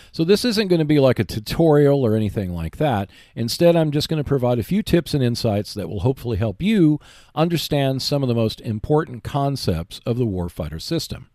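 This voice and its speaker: A man hosting a hobby show, giving explanatory, semi-scripted commentary in a measured, emphatic way. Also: medium pitch, a little mellow, no echo, studio quality. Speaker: host of a board game video show.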